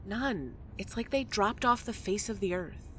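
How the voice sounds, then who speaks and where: A woman's voice: medium pitch, animated, unhurried, noisy background, close to an everyday voice. A woman acting in a fiction podcast as a restless, frustrated and blunt character with a sardonic manner. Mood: worried, blunt.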